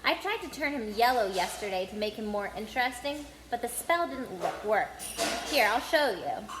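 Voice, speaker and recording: A blunt young boy wizard speaks in a slightly lowered, matter-of-fact way, quick to sound disgusted, as a woman reads him in a storybook. Her voice stays fairly high even so, with a roomy echo around it. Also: background noise.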